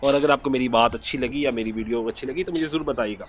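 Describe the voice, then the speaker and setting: A man's voice: mid-range voice, quick pace, a little hiss or hum. A man narrating a moral story as a channel host, earnest and explanatory in manner.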